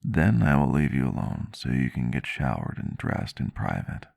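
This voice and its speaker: A man playing a gentle, courteous vampire doctor in an ASMR roleplay, soft-spoken, caring and formal in his wording, close to the mic. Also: a bass voice, sing-song, normal speed, gravelly, no room sound, full character voice. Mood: tender, reassuring. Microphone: close microphone, dry sound.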